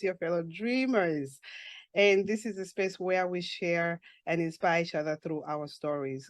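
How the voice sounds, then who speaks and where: A woman from Zambia hosting a casual podcast interview, excitable and quick to laugh. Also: medium pitch, no echo. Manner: warm, excitable, laughs often. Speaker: podcast host. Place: New York.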